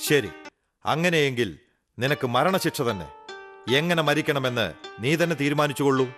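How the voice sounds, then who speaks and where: A man's voice: medium pitch, lively intonation, moderate pace, fairly bright tone, soft background music, lightly acted. Stately king for a kids' cartoon, man acting: mid-range voice, stern yet fond. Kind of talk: animated children's story dialogue.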